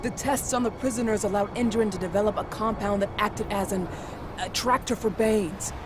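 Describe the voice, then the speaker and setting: Woman acting an urgent game commander, medium pitch, firm, frank, forceful, noisy ambience behind. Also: fairly even intonation, normal speed, close to an everyday voice. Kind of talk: video game cutscene acting. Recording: clear outdoor ambient noise.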